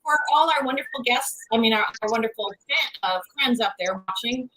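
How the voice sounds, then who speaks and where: A woman with a fairly high voice co-hosts a live online horror show. She talks casually and informally, moving between the guest and the people watching. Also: a little fast, a bit bright, poor audio. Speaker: co-host of a horror livestream.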